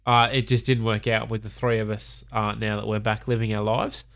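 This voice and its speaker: Australian man, stuffy nose from a cold, relaxed podcast banter, fairly low voice. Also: fairly quick, creaky. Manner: laid-back, swears casually, lots of fillers. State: nasal from a cold. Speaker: podcast co-host. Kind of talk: casual chat.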